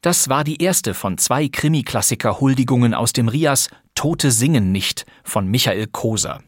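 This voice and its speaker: A man's voice: mid-range voice, expressive, normal speed, no echo. A man hosting a podcast, presenting scripted credits and introductions in a radio style on a close studio microphone. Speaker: podcast host.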